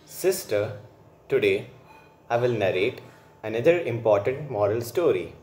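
A man narrating a scripted moral story in a simple, deliberate, instructive manner. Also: mid-range voice, expressive, a little mellow. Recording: roomy, echoing voice recording.

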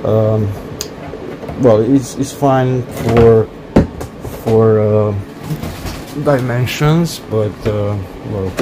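Guy filming his build on a phone, low voice, lots of uhs, noisy workshop hum. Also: steady tone. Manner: halting, many fillers and restarts. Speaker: hobbyist cockpit builder. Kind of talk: casual build walkthrough.

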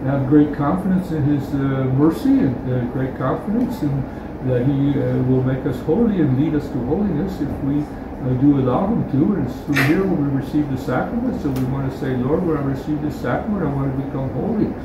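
A man's voice: mid-range voice, expressive. Older male priest, 60s, unscripted homily, hesitant with fillers; distant camera mic, echoey chapel with noise. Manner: halting, many uh fillers and restarts. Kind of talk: informal homily. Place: small chapel indoors. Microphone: far camera.

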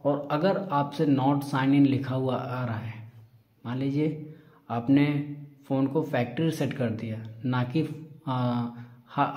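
A man's voice: medium pitch, warm tone. A man giving an informal step-by-step phone tutorial, explaining in a reassuring, repetitive way. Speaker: tech tutorial presenter. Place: indoor room. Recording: echoey room.

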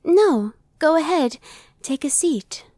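A woman's voice: high-pitched, animated, takes it slowly, mellow, dry recording. A woman playing a casual, dry-witted female demon scout in an ASMR roleplay audio drama. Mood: calm, watchful. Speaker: voice actor.

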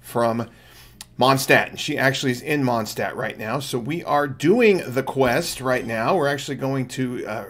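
A middle-aged man giving casual, explanatory livestream commentary on a game, chatting to viewers. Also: medium pitch. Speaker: game streamer, channel host. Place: indoor room at home. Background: game music.

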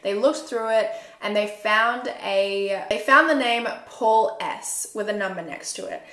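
Australian woman, twenties, conversational true crime storytelling, medium pitch, echoey room. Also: a little slow, fairly bright tone. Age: 20s. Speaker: true crime video host. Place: small room at home, indoors. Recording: roomy indoor echo.

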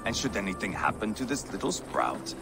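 An actor playing a brash, mocking Viking warrior in a video game, taunting and boisterous. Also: male voice, on the low side, very animated, brisk, gravelly, music in the background.

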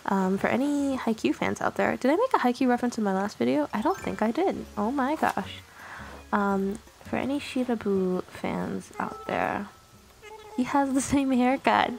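A woman's voice: fairly high pitch, expressive, moderate pace, creaky, low-quality recording. A woman whose first language is Tagalog, rambling through casual commentary over a video game, with small self-conscious laughs. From Canada. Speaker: gaming YouTuber.

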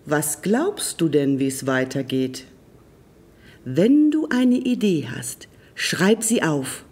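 A storyteller reading a children's tale aloud expressively and deliberately, addressing young listeners directly. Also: medium pitch, sing-song, darkish tone, breathy edge.

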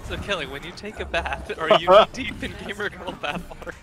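A man's voice: sits high, a little fast. A young man bantering casually with friends in a gaming voice chat. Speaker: gamer in voice chat.

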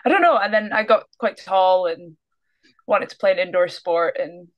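A woman's voice: fairly low pitch, normal speed, muffled recording. A young Scottish woman talking casually as a guest on a video call, easygoing and often trailing off with a laugh. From Scotland. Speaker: guest basketball player. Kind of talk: casual interview. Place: small room indoors.

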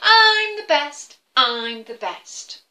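A woman voicing a boastful male golden eagle in a children's story, bragging slowly and loudly in a sing-song way. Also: high-pitched, very animated, reverberant, pitched above the performer's own voice, heavily acted.

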